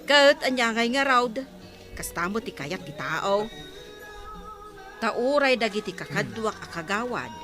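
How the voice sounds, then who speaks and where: Village councilwoman, played by an actress: animated gossip, reasonable, resigned, music behind. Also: on the high side, measured pace, long pauses. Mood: resigned chatting. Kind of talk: Ilocano radio-style audio drama acting.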